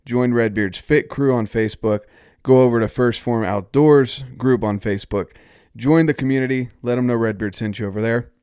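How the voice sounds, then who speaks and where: A man's voice: medium pitch, normal speed, darkish tone, clear, resonant tone, dry recording, studio quality. A man from North Carolina hosting a solo podcast, talking upbeat and encouraging while stuffed up from a cold. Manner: upbeat, encouraging, invites listeners to join. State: stuffed-up nose. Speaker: podcast host.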